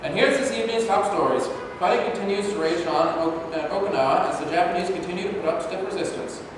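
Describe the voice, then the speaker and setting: A man's voice: sits high, quick pace, close to an everyday voice. Man playing a wartime newsreader, echoey hall. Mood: formal, reporting. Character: 1940s radio newsreader reporting war news. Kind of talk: staged play reading of news bulletin. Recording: echoey hall from far off, noisy.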